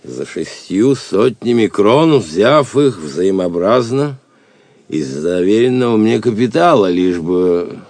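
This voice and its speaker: A man's voice: lowish voice, expressive, takes it slowly, darkish tone, no room sound. Obsequious, lamenting merchant father, dry sound. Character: ruined, pleading merchant father grieving his daughter. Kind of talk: Russian audio drama acting.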